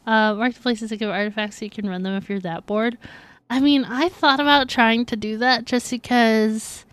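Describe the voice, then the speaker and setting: A woman's voice: fairly high pitch, steady tone, moderate pace, fairly bright tone, clean tone, no room sound. Chatty woman streaming a game. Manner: giggly, reacts playfully. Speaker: game livestreamer. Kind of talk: casual chatty stream commentary. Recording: game music behind.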